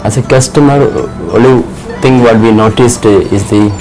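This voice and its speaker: A man in his thirties, a financial controller giving a measured interview testimonial in an office, often saying "you know". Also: mid-range voice, steady tone, darkish tone, soft background music. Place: office indoors.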